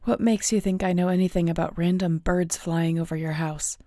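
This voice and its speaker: A woman playing a surly, protective werewolf alpha in an audio roleplay, with a gruff, blunt, dry manner. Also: on the low side, fairly even intonation, moderate pace, dark tone, dry recording, natural voice. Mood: guarded, hostile. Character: surly young female werewolf alpha, guarded, fiercely protective.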